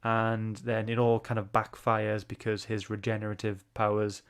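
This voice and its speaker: A man's voice: fairly low pitch, little pitch movement, normal speed, clean tone. A man hosting a book club livestream, chatting informally and greeting viewers. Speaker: host.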